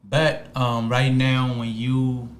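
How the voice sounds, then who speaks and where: A man's voice: medium pitch, flat delivery, takes it slowly, reverberant, slight background noise. A man from Liberia chatting as an interview guest, hesitant, with lots of ums and restarts. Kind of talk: casual interview chat.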